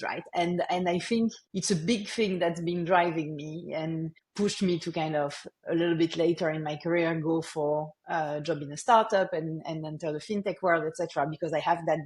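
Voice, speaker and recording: A French-accented woman in her forties speaks in a fairly low voice, thinking aloud as she goes. She circles back and restarts her sentences, with small laughs between thoughts, in a relaxed podcast conversation. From France. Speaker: marketing executive guest. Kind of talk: casual podcast interview.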